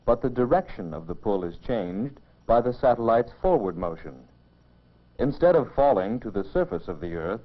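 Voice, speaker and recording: A man giving measured, explanatory scripted narration for a mid-century educational film, on a dull old film soundtrack. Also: fairly low pitch.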